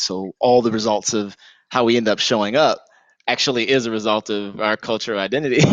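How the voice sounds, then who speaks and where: A man's voice: fairly low pitch, no echo, dull audio. A man moderating a panel discussion as host, with an encouraging, appreciative manner.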